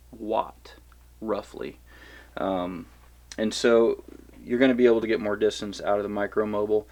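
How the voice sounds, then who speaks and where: A man giving a relaxed, rambling product review of radios, with lots of fillers and restarts. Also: on the low side. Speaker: survival gear reviewer.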